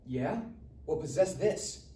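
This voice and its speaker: An actor playing a cheeky, defiant teenage stoner in a live comedy table read. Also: male voice, on the high side, animated, takes it slowly, warm tone. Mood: defiant, pleading. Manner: cheeky, slangy. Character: smart-mouthed, defiant stoner teenage boy. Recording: echoey room, distant mic, faint noise.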